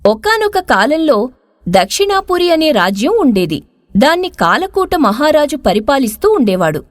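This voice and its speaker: A woman's voice: sits high, very animated, normal speed, no room sound, clean studio sound. A woman narrating a scripted moral story as a storyteller.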